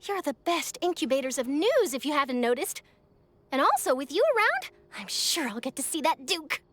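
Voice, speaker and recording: An eager young female reporter speaks in a very high, animated voice. She is chirpy and persuasive in polished game dialogue. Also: no echo. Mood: eager, upbeat. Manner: chirpy, persuasive, brisk enthusiasm. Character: bubbly, eager young woman newspaper reporter. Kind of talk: video game voice acting.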